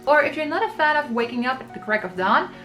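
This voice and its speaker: Woman from the Netherlands, slightly high voice. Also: expressive, fast, fairly bright tone, soft background music. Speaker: travel vlogger. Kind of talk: scripted travel tips vlog.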